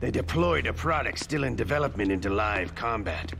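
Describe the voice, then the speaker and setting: An actor playing a cool, pragmatic henchman to a villain in a video game cutscene, measured and matter-of-fact. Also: mid-range voice, expressive, gravelly, noisy background. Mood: thoughtful. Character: cool, pragmatic Russian gunman, the boss's right-hand man.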